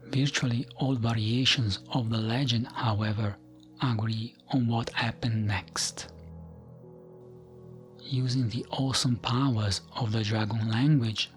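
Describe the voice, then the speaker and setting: A man narrating fantasy lore as soothing ASMR, in a gentle, hushed voice that often slips into a whisper, with music behind him. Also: medium pitch, fairly even intonation, measured pace.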